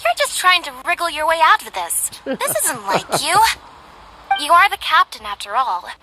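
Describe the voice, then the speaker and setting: Very high, exasperated young crewmate. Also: female voice, very animated, moderate pace, very noisy. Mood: exasperated pleading. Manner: pleading, insistent. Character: earnest, exasperated young female crew member. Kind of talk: voiced video game dialogue.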